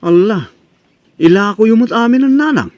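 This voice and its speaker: A man's voice: sits high, expressive, normal speed, a little mellow, no echo. A man playing a worried, reproachful relative in a radio-style comedy drama, with exclamatory delivery. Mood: worried, reluctant. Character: worried, scolding male relative of a gullible woman.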